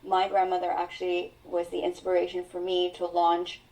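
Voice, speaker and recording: Earnest woman, low mellow distant voice. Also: completely flat delivery. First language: Mandarin. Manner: earnest, reflective, drawn-out phrasing. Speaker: podcast guest. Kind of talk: personal storytelling in an interview. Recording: voice sounds distant from the mic.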